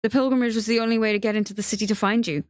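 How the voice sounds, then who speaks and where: A woman voicing a frightened, remorseful young man in love, pleading in a wavering, halting way in an audiobook. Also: fairly low pitch, darkish tone, no room sound, studio quality, natural voice. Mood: earnest, remorseful.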